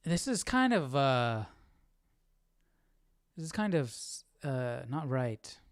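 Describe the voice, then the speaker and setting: A man's voice: medium pitch, very animated, slow pace, clean tone, dry recording, clean studio sound. A man hosting a casual live Bible study stream, thinking aloud in an informal, hesitant way.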